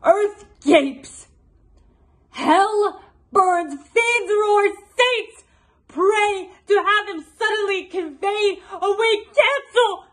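A woman's voice: extremely high pitch, extremely slow, a bit bright, voice pushed up, exaggerated voice. A teenage woman acting a vengeful, grieving queen in a declamatory, impassioned Shakespearean monologue. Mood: desperate, cursing. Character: vengeful grieving old queen, cursing her enemies.

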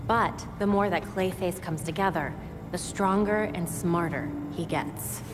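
A young woman voicing a brisk, analytical vigilante hero in a game cutscene. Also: fairly low pitch, close to an everyday voice. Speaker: tech-savvy vigilante hero. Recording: reverberant cutscene with music.

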